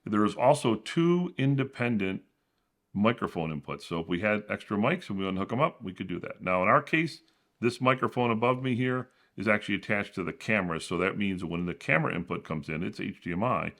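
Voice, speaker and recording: A man with a deep, booming voice walks through his home studio setup. He explains casually and often restarts his sentences. The sound comes from a camera-mounted shotgun mic in a small room. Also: lively intonation, fairly quick, a bit bright. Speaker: channel host. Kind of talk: studio tour walkthrough.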